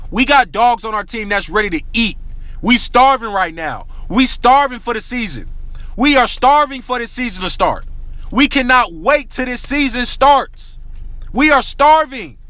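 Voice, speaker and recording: A man giving an excited, slangy basketball rant to his viewers, repeating himself often and saying he is losing his voice. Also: very high voice, a little slow, dry recording, low-quality recording. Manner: excitable, repetitive, slangy, addresses viewers as y'all. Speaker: sports talk vlogger. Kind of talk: hyped casual basketball rant.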